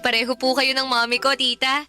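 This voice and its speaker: A woman's voice: high voice, level tone, normal speed, music in the background, natural voice. An actress playing a cheerful, polite young student girlfriend in a radio drama, giggly and respectful. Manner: giggly, respectful, sweet-mannered. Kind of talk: Tagalog radio drama acting.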